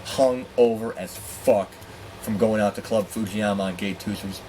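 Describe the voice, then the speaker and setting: A man telling a personal story in a candid, profane, animated way, acting out dialogue with exasperated asides. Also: lowish voice, moderate pace, slight background noise. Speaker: storyteller and host.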